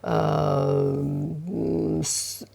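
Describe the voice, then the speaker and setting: Slow, gravelly Slovak woman, halting English. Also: deep voice, little pitch movement, mellow. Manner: halting, many fillers and pauses, simple English. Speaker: fashion designer and author. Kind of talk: community TV interview.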